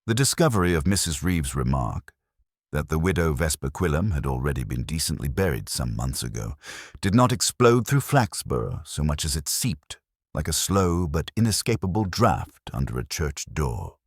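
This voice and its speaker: A man reading scripted literary mystery narration in a measured, wry storytelling manner. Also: a bass voice, very animated, slightly rough, dry recording, clean studio sound. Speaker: narrator of a mystery audio drama.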